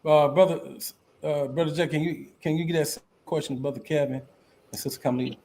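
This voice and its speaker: A man's voice: on the high side, fairly even intonation, a little fast, mellow, slight background noise. A man on a panel who agrees with the others and hands the question on to the next speakers. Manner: affirming, handing off turns. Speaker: panel participant. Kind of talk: casual panel discussion.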